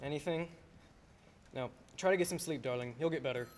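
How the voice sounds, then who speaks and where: A harried small-town father from a radio drama, acted by a man, short-tempered and on the edge. He talks quickly, with faint noise in the recording. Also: on the high side, natural voice. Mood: subdued. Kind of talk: old-time radio play acting.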